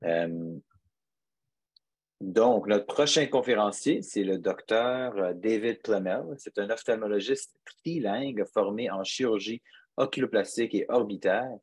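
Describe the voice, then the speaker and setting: A man moderating a conference webinar, hesitant and filling pauses with "euh". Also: lowish voice, a little mellow, husky, no echo. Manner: hesitant, many fillers. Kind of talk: hosting a webinar.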